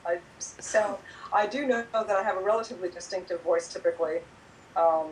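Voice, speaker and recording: Female guest, tired, earnest, stumbles over repeated words; mid pitch, faint background noise. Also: a little fast, poor audio. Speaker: guest, host's sister. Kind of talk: casual chat. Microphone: video call.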